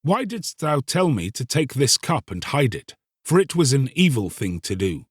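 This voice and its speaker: Man acting young fisherman, earnest, troubled, swooping intonation, slightly husky, archaic storybook speech. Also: medium pitch, sing-song, moderate pace, no room sound, studio quality, pitched above the performer's own voice. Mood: reproachful. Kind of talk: dramatised storybook reading.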